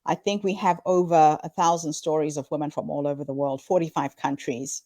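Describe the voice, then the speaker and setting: A woman in her fifties from Zimbabwe speaks with a lowish voice, earnest and upbeat as she answers interview questions. The sound is dry and close, with no room around it. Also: fairly even intonation, fairly quick, dry recording. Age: 50s. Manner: earnest, upbeat storytelling with warm laughs. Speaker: guest, founder of a women's story platform.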